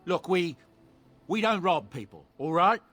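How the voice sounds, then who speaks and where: A man's voice: high voice, expressive, unhurried, a bit bright, faint music behind, full character voice. A man voicing a genial older dwarf merchant in a fantasy game, telling stories in a courteous, theatrical, earnest way. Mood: defensive, earnest. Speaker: travelling merchant. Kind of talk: video game character dialogue, storytelling.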